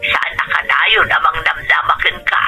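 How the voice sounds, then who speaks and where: A man's voice: on the high side, completely flat delivery, moderate pace, vocal fry, sharp tone, faint music behind, full character voice, low-quality recording. A man playing a loving, reassuring mother of grown children in a family drama. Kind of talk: sentimental drama phone dialogue.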